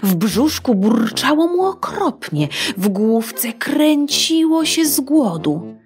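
Storyteller, woman, slow, lively pitch, bedtime tale read over soft music. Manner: expressive, slow, playful. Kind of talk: children's audiobook narration.

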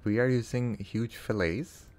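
A man's voice: medium pitch, unhurried, darkish tone. A man from Canada whose first language is not English, chatting casually with his live-stream viewers while he plays, answering chat and laughing often.

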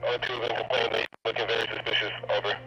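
A man's voice: mid-range voice, flat delivery, very fast, creaky, muffled recording, loud music behind the voice, lightly acted. A man posing as a rude, bossy store manager giving orders over a walkie-talkie in a prank. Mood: curt, commanding.

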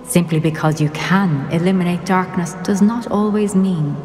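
Woman playing a low, echoing wise witch. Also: darkish tone, soft background music, lightly acted. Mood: solemn, wise. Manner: measured, philosophical. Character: calm, wise witch in enchanted portrait. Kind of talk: cinematic video game acting. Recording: echoey reverberant hall.

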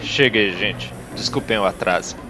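A wry, self-assured street fixer, played by a man with a Brazilian accent. His voice is very deep, and clear music plays under him. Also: normal speed, close to an everyday voice. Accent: Brazilian Portuguese. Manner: wry and teasing. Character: cocky male street fixer, teasing.

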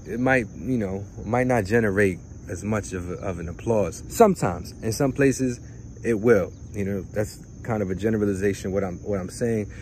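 A pastor in his thirties preaches by a lake at dawn, filmed at close range on a phone. His voice sits on the low side and his delivery is conversational, with frequent restarts and repeated words. Faint crickets and insects hum behind him. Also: moderate pace, darkish tone. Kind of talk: outdoor sermon.

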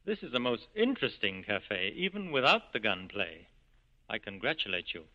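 A man playing a dignified, courteous gentleman in a 1940s radio drama, formal and polite. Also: fairly low pitch, sing-song, moderate pace. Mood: courteous, curious. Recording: muffled old 1940s radio recording.

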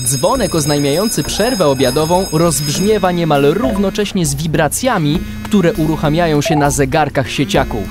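Higher-voiced man narrating over music. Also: normal speed, few pauses. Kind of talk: scripted radio play narration.

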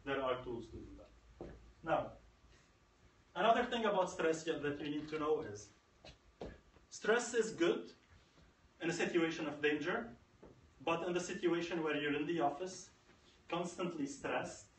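A man's voice: fairly high pitch, expressive, unhurried, long pauses. A man in his thirties giving a motivational tech-conference talk, emphatic and repeating phrases for effect. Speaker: conference speaker. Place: conference room. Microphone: far camera. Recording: echoey room, far from camera, faint noise.

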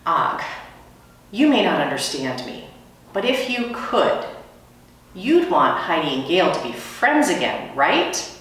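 An eager little storybook hamster, voiced by a woman reading aloud, earnest and lively in pitch. The voice is picked up from a distance in a very echoey room. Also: takes it slowly, pauses often, full character voice. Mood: concerned. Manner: earnest, squeaky exclamations and questions.

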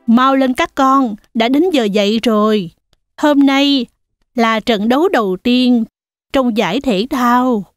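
A woman's voice: on the high side, takes it slowly, no room sound, soft background music. A woman voicing a loving mother goat in a cartoon, tender and reassuring.

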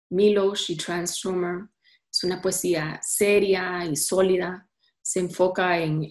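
A woman in her thirties presenting a literature session on a video call, halting with fillers and restarts. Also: on the low side. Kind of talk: casual online talk.

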